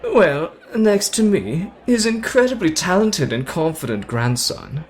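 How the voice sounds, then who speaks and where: A man's voice: sits high, sing-song, a little slow. A man voicing a brash, playful young British show-off who is boastful, teasing and theatrical in an ASMR roleplay. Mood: boastful, charming. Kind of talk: ASMR roleplay addressed to the listener.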